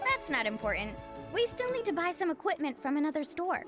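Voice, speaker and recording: A woman's voice: high voice, very animated, a little fast, over music. A woman playing a confident, friendly young tour guide in a video game, breezy and assertive.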